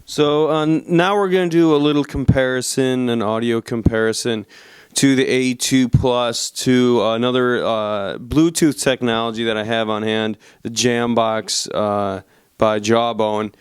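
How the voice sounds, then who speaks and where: A man with a clear, smooth voice and a mid-range pitch talks through a product review. His delivery is casual and unscripted, and he often stops to search for the right word. The sound is close and dry, with very little room echo. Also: steady tone. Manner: halting, searching for words. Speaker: audio gear reviewer.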